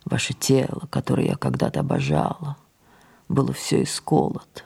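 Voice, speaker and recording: An actress playing an aging, imperious, melancholy French stage star in an audio drama, declaiming theatrically with drawn-out words that drop into breathy murmurs. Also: female voice, extremely low pitch, sing-song, warm tone, heavily acted. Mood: melancholy, reminiscing. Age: older. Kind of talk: audio drama monologue and dialogue.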